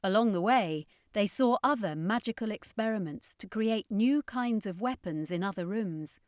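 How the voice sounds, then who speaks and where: A woman's voice: mid-range voice, expressive, normal speed, dry recording. Woman narrating adventure fiction, very dry sound. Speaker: audiobook narrator. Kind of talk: scripted dramatic story narration.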